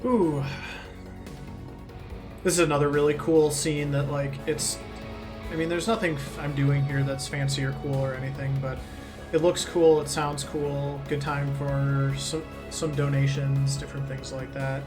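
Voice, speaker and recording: A man's voice: fairly high pitch, little pitch movement, a little slow. A man giving casual live commentary as he speedruns a game, rambling and thinking aloud. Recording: game music behind the voice. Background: game music.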